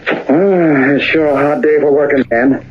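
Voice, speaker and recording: A man's voice: on the high side, unhurried, natural voice. Kind-hearted young Western prospector played by a man, American accent, hopeful and earnest, radio drama on a tinny, lightly noisy old recording. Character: eager, kind-hearted young gold miner, male.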